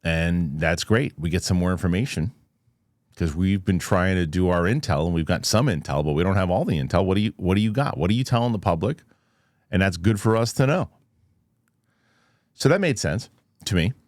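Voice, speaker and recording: A man with a fairly low voice, recorded dry with little room sound. He gives casual, unscripted news commentary as a show host. His delivery is halting and skeptical, as he reasons out loud and puts questions to the viewer. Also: lively intonation, dry recording. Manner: halting, thinking aloud, rhetorical questions.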